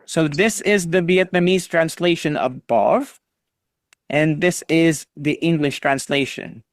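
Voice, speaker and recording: A man's voice: fairly high pitch, measured pace, no room sound, clean studio sound. A man teaching an online English class over a video call, patiently drilling students on pronunciation and encouraging them.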